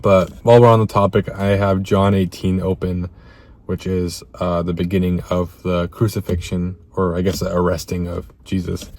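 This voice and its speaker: A slightly hoarse man talking in an earnest, reflective vlog and reading scripture aloud, halting now and then over repeated words. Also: low voice, flat delivery, darkish tone. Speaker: self-improvement vlogger.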